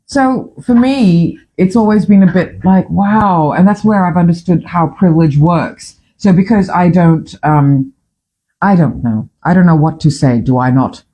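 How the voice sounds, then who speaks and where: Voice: mid-range voice, measured pace, mellow, poor audio. A host in their fifties from Fiji, speaking with a Fijian accent in a casual, opinionated morning chat, full of restarts and the odd swear word. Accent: Fijian English. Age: 50s. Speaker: podcast host.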